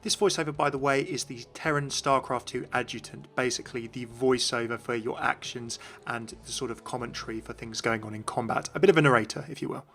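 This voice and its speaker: A man's voice: medium pitch, brisk, few pauses, a bit bright, faint music behind. A man narrating a video as a game commentator, explaining things in an informal way.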